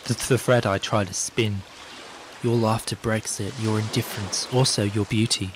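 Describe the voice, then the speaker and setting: A man's voice: lowish voice, steady tone, moderate pace, a little hiss or hum, close to an everyday voice. An actor playing a wounded, gloomy middle-aged man in a literary radio drama, solemn and poetic. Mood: bitter, mournful.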